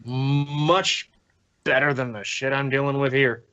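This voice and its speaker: A man's voice: mid-range voice, steady tone, a little slow, bright, forceful tone, smooth, highs cut off. A man from the American Northwest with an American accent, co-hosting a podcast in playful, joking casual chat. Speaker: podcast co-host.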